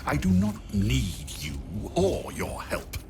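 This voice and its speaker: A man's voice: medium pitch, huge pitch swings, noisy background, heavily acted. An actor playing an arrogant, cold male necromancer in a video game cutscene, speaking with haughty disdain. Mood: condescending. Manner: haughty, disdainful, slow and deliberate.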